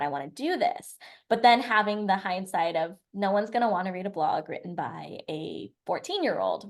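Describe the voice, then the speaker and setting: A woman in her twenties from the United States, a podcast guest telling her life story in a chatty, run-on way. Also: mid-range voice. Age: 20s. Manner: chatty, lively storytelling with long run-on sentences. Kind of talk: casual storytelling interview.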